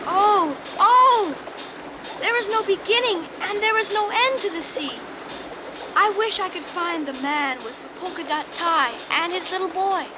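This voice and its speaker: A woman's voice: very high-pitched, a little slow, poor audio. A woman voicing a small, childlike toy tugboat in a children's storybook, boastful yet easily worried and given to plaintive exclamations. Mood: frightened, wistful. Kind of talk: children's storybook character acting. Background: music.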